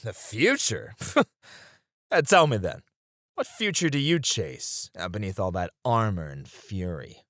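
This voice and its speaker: A man's voice: on the low side, sing-song, a little slow, a bit bright, dry recording, clean studio sound. A man playing a weary, tender warrior and teasing sparring partner in an intimate ASMR romance roleplay, speaking wistfully with chuckles and sighs. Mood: gently teasing, warm.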